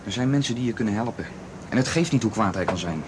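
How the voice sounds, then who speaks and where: A concerned young man in a radio play, played by an actor. He talks quickly in a slightly low voice and keeps pressing with insistence, with faint noise in the recording. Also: animated, natural voice. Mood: insistent, worried. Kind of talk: Dutch radio play acting.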